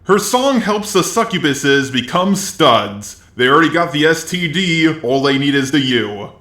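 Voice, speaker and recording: A man's voice: on the high side, big pitch swings, a little slow, bright, forceful tone, reverberant. A man's synthetic robot voice narrating a scripted review with sarcastic, profane asides. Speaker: video reviewer.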